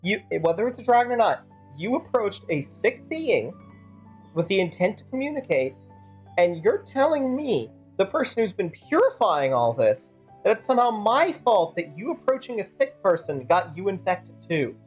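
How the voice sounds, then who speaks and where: A woman's voice: mid-range voice, sing-song, moderate pace, slightly rough, soft background music. A woman streaming a game, giving casual, wry and sardonic live commentary.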